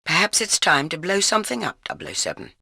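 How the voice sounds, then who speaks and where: A woman's voice: sits low, huge pitch swings, fast, gravelly, pushed and bright, a little hiss or hum, exaggerated voice. An actress playing an urgent, authoritative female spy chief directing agents in a video game.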